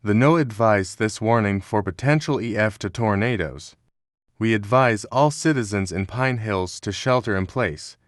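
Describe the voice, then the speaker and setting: A man acts as an official male weather alert announcer. His voice sits fairly low. He gives formal broadcast instructions in a dry, close recording. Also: normal speed, no room sound, close to an everyday voice. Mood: formal warning.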